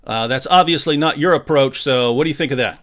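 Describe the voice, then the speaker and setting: A man's voice: medium pitch, fairly quick, fairly bright tone. A man hosting a casual livestream interview, talking with playful showmanship. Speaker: host.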